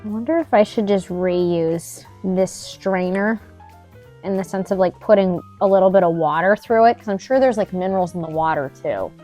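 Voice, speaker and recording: A woman's voice: medium pitch, moderate pace, warm tone, faint music behind. Young female DIY vlogger, filler-heavy casual chatter, dry cough, recorded at home. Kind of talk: casual chat and talk-through of a DIY task. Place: home indoors.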